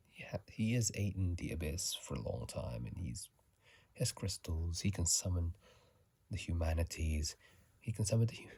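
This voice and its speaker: Man, hushed ASMR whisper, very low and mellow, casual musing game commentary, halting delivery, close dry phone-mic sound. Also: dry recording. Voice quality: soft, breathy whisper. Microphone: close phone microphone.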